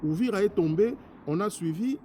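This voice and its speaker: A man's voice: sits high, expressive, normal speed, warm tone, a little hiss or hum. A man from DR Congo with a Congolese accent giving an impassioned political address as a politician, emphatic and repetitive in his delivery. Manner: emphatic, repetitive, switching between French and Lingala. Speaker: politician, former member of parliament.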